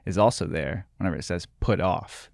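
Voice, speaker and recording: Twenties guy cohosting a faith podcast, lowish voice, super dry close sound. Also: brisk, vocal fry, no room sound. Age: 20s. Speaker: podcast co-host.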